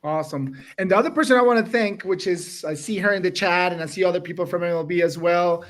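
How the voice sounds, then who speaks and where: High-voiced chatty male host. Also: quick pace. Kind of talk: casual interview hosting.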